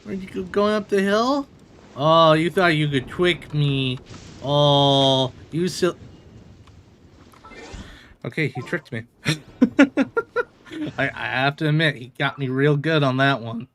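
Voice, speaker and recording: A man doing casual live gameplay commentary in a playful, expressive way. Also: on the high side, slow, pauses often, a little hiss or hum. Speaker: game streamer. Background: music.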